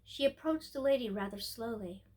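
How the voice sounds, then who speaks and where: High-pitched woman reading a children's story. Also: lively intonation, normal speed, a little mellow. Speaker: storyteller, host of a storytime show.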